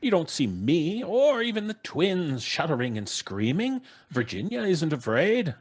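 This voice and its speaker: A jovial, pompous father in a radio play. His husky voice swings up and down as he blusters away any talk of ghosts. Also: a little high, big pitch swings, measured pace, exaggerated voice. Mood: amused, unruffled. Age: middle-aged. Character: blustery, unflappable American father, dismissive of ghosts. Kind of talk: old-time radio drama acting. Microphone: studio microphone on a video call.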